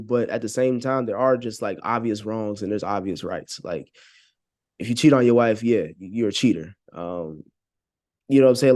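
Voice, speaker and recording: A man's voice: mid-range voice, darkish tone. A man giving his opinions as a podcast guest, speaking casually and earnestly with plenty of slang. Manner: slangy, earnest, frequent 'you know what I'm saying'. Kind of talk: casual conversational opinion talk.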